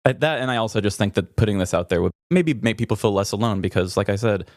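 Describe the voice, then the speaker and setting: A man's voice: on the low side, quick pace, darkish tone, no room sound, studio quality. A man co-hosting a podcast, talking casually and candidly in an earnest, slightly hesitant way. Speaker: podcast co-host.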